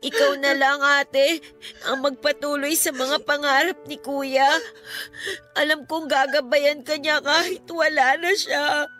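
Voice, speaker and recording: A gentle young Filipina sister in a radio drama, earnest and sorrowful as she consoles. Her voice is high and very bright, over faint music. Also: fairly even intonation, measured pace. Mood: tearful, consoling.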